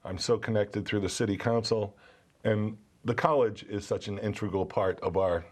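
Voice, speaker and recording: A man with a fairly low voice speaks as a guest on a local TV interview. He is a college English professor from New England, earnest and eager as he talks. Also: fairly even intonation, normal speed. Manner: enthusiastic, earnest. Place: TV studio.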